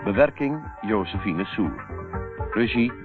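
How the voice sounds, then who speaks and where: A man's voice: lowish voice, animated, slow. A man announcing the scripted credits of a radio serial. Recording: muffled old radio recording under loud music. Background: loud music.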